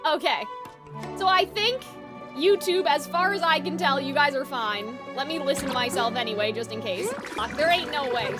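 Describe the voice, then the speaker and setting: A woman's voice: high-pitched, normal speed. A woman chatting casually to viewers on a live game stream, informal and exclamatory, swearing freely. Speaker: video game streamer, host. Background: music.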